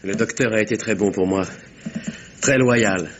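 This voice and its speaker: Man acting sincere young husband, lowish voice, formal, vintage radio drama, faint background noise. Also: measured pace, lightly acted. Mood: earnest, grateful. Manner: earnest, formal. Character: earnest, grateful young husband, a suspect. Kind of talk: 1950s-style French radio drama acting. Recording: noisy radio sound with ambience.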